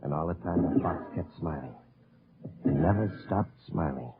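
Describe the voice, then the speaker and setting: An actor playing a plain-spoken army captain, recounting a wartime mission in old radio drama style. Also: male voice, rumbling low, moderate pace, heavy background noise. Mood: tense recollection. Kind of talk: 1940s-50s radio drama narration and dialogue. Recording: narrow old radio recording with music.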